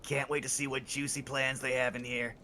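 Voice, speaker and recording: A man voicing a furtive, eager male street contact in a video game, speaking in quick, conspiratorial bursts. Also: medium pitch, little pitch movement, bright, forceful tone, slight background noise. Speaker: co-player reading character lines.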